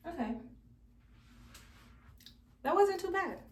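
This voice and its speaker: A woman's voice: extremely high pitch, expressive, slow. A young woman talking to camera as she does her hair, casual and chatty. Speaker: beauty vlogger. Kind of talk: casual hair tutorial talk. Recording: roomy, from a distance.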